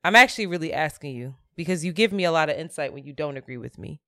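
A woman's voice: low voice, expressive, fast, a bit bright, dry recording, studio quality. A woman co-hosting a podcast, talking earnestly and reflectively in casual chat, thinking aloud as she goes. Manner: earnest and reflective, talks through her thoughts. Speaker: podcast co-host.

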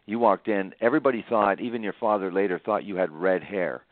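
A man with a low voice hosts a conversational interview over a narrow, phone-like connection with little room sound. He recaps and prompts deliberately, repeating phrases to stress them. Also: dry recording. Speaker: interviewer, host.

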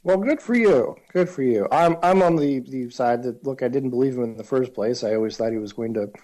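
Male podcast co-host, mellow dark tone, mid pitch, wry and halting, casual political talk.

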